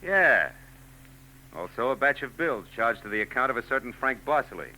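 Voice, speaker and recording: An actor playing a world-weary private eye with a laconic, hardboiled delivery, in 1940s radio-drama style. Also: male voice, mid-range voice, very animated, normal speed, sharp tone, low-quality recording. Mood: wry.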